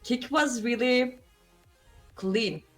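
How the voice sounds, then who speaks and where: A woman's voice: fairly high pitch, extremely slow, fairly bright tone, faint music behind. A woman from Turkey with a Turkish accent, a guest streamer in a casual podcast chat, speaking English as her second language, hesitant and giggly as she searches for words.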